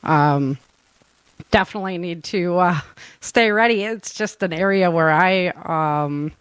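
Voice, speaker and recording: A woman's voice: on the low side, a little slow, clean tone, dry recording, low-quality recording. A woman hosting a casual live chat show, talking informally with plenty of everyday slang. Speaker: show host and producer.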